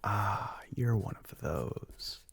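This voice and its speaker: A man playing a smug, teasing, telepathic young male classmate in an ASMR roleplay, with a husky, breathy, often whispered voice. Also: lowish voice, expressive, slight background noise, exaggerated voice. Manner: smug, teasing, drawn-out knowing remarks.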